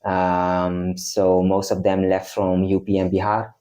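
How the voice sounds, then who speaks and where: A low-pitched young man with a dark, mellow voice, born and raised in the Netherlands. He speaks as a guest in a relaxed interview. He pauses often and restarts his sentences, filling the gaps with ums as he explains. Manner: hesitant, many ums and restarts. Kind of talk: casual interview chat.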